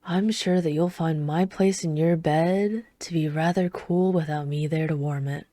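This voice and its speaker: Woman as a low-voiced, restrained dutiful knight. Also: fairly even intonation, dark tone, no room sound. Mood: dry teasing. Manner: dry, restrained, formal, measured. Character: reserved, dutiful female knight, dryly scolding royal lover. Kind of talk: ASMR roleplay acting.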